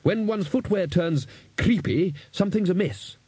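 A man's voice: sits high, moderate pace, a little mellow, breathy edge, dry recording, pitched above the performer's own voice. A man voicing a blunt, exasperated, thick-skinned old wizard archchancellor in an audiobook. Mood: growling suspicion. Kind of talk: audiobook character voice.

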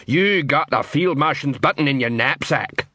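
A man plays a dim troll constable from a fantasy storybook, puffed up with pride. He barks clumsy drill orders with a husky edge and big swings in pitch. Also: expressive, moderate pace, sharp tone, no echo. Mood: pompous, commanding. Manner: barking, ungrammatical drill orders. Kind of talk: audiobook character voice.

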